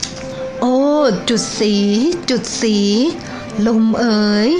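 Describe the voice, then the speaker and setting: Woman doing a slow, worried old granny. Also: a little mellow, soft background music. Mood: pleading. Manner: slow, pleading and calling out. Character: kind worried old grandmother. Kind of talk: children's storybook reading.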